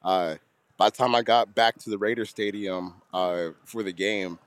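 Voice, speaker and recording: A man co-hosting a podcast in casual chat. His voice is hoarse and gravelly, and he talks haltingly, repeating words and chuckling. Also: fairly low pitch, steady tone, no echo.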